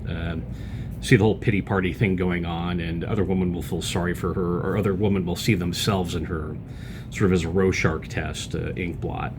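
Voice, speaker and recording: A man with a deep, low-pitched voice talks straight into a close camera mic as a podcast host. His commentary rambles in a casual way, with lots of "uh"s and false starts. A faint low rumble sits underneath. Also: steady tone, normal speed. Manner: rambling, loose delivery with many fillers and restarts. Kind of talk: casual political commentary monologue.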